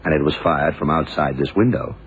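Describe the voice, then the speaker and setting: An actor playing a shrewd, calm, self-assured detective in an old radio drama, speaking in a deliberate, probing, emphatic way. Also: male voice, rumbling low. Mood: calm certainty. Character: shrewd, methodical male detective, calm and sure of himself. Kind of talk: 1970s radio drama acting. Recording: dull, noisy old radio recording.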